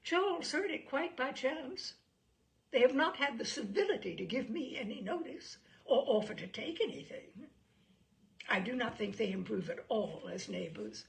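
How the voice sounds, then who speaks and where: Woman doing a gossipy self-important regency wife for an audiobook, complainy, really up and down, bit creaky. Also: a little high, sing-song, normal speed. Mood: peevish, complaining. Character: self-pitying, gossipy young married Regency gentlewoman writing letters. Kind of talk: dramatised audiobook reading of a classic novel.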